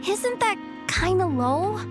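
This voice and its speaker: A woman's voice: extremely high pitch, big pitch swings, slow, music in the background, exaggerated voice. A woman voicing an eager young female assistant who pesters her boss in a video game. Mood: pleading. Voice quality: whiny, earnest.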